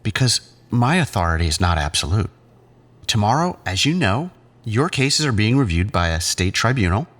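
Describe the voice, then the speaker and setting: A controlling male psychiatrist acted by a man runs the group in an audio drama. His voice sits low and moves with animated intonation. He is patronising and clinical, choosing his words with measured care. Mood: stern, coaxing.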